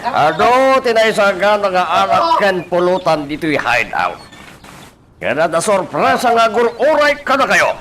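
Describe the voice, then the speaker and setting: A man's voice: sits high, sing-song, a little slow, background noise. An actor playing a boastful crime boss in a radio drama, drawing out his gloating words.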